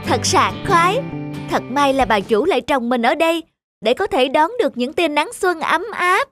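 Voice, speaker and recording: Woman voicing a high, gentle big-sister peach tree. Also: lively intonation, moderate pace, over music. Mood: delighted. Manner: soothing, wondering. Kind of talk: animated cartoon dialogue.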